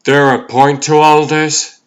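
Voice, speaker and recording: A man's voice: on the high side, flat delivery, takes it slowly, smooth. A man playing a defiant, plainspoken superhero in a comic dub, with a dry, firm, unimpressed delivery. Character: defiant, wary adult superhero, plainspoken and stern.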